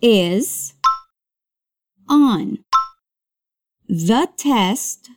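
A woman teaching English as a language lesson, modeling words slowly and carefully before brisk explanations. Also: a little high, lively intonation, a little mellow. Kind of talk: slow drilled pronunciation lesson.

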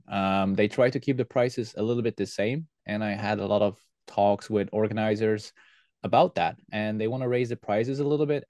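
A man's voice: on the low side, a little mellow, no room sound. A man from Belgium, answering interview questions as a podcast guest in an earnest, explanatory way. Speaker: podcast guest, airsoft team leader.

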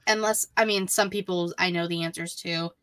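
A woman's voice: fairly low pitch, steady tone, moderate pace, fairly bright tone, no echo. A woman co-hosting a podcast, chatting casually as a wrestling fan. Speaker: podcast co-host.

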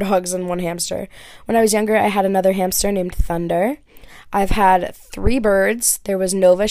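Young woman podcast host, relaxed chatty delivery, dry close mic. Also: mid-range voice, gravelly, no echo. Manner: chatty, lots of "like" and "um". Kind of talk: casual Q&A chat. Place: her bedroom.

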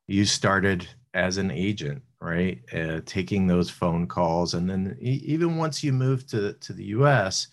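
A man's voice: deep voice, unhurried, few pauses. A man hosting an interview show, asking a guest questions in a conversational style. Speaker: podcast host interviewing guest.

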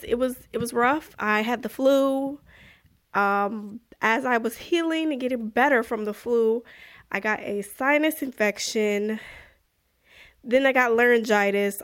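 A young woman hosting a podcast in a casual, chatty way, still a little congested from being sick, with animated exclamations. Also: a little high. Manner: chatty, animated, self-deprecating, exclaims "woo, child". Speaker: podcast host. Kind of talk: casual podcast chat and story reading.

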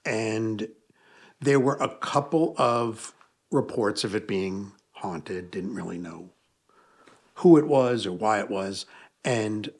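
A man's voice: on the low side, slow pace. A man, an author and podcast guest, telling stories in a rambling way and correcting himself as he goes. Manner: rambling storyteller with self-corrections. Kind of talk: casual podcast interview.

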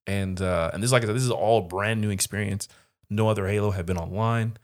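A man with a very deep voice full of gravelly fry. He tells a personal story in a relaxed, rambling way, leaning on fillers like "uh" and "like." The talk is a casual podcast chat. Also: quick pace. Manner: laid-back storyteller, lots of fillers. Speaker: podcast co-host.

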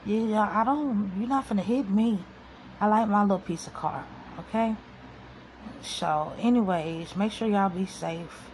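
A woman hosting a casual solo podcast, talking into her phone with a soft voice. She rambles to her listeners in an informal, slangy way. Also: medium pitch, a little slow, a little hiss or hum, rough recording. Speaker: podcast host. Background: guitar music.

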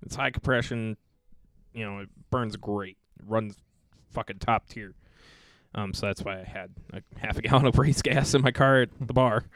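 Foul-mouthed, clear-voiced man bantering on a podcast. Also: on the low side, normal speed, a bit bright, no echo. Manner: crude, swearing, teasing; stammers and repeats words. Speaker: podcast co-host. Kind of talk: casual joking banter.

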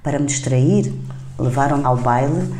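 A woman's voice: very deep voice, normal speed, warm tone. A woman hosting a poetry conversation, reading and talking about verse, recorded in a roomy space. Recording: roomy echo, faint background noise.

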